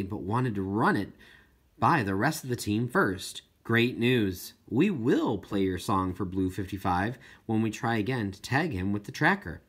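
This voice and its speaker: Husky, encouraging woman scientist read by a narrator. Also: mid-range voice, voice pushed down. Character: friendly encouraging female scientist writing a letter.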